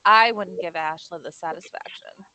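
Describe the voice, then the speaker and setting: A woman's voice: on the low side, normal speed, bright, forceful tone, no echo, low-quality recording. A woman playing a sarcastic young woman who puts on bravado, in a horror script table read. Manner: sarcastic, conversational.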